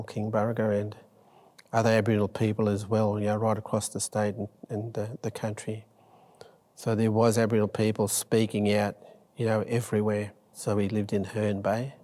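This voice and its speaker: A man's voice: on the low side, warm tone. An Australian man in his sixties talking reflectively in an oral history interview. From La Perouse, Sydney, Australia. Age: 60s.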